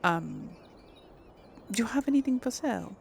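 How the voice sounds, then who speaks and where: A woman's voice: on the high side, animated, moderate pace, vocal fry, darkish tone, slight background noise. A woman giving casual role-play game commentary, hesitant and thinking aloud as she goes. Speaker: gaming video host.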